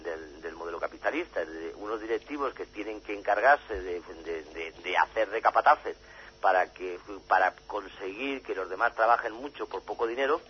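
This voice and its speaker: A man from Spain gives a radio interview as a journalist and author, stopping and restarting often before speeding through his points. The audio is dull and lo-fi, with a faint hum behind him. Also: sits low. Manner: halting, many fillers and restarts, then rushes ahead.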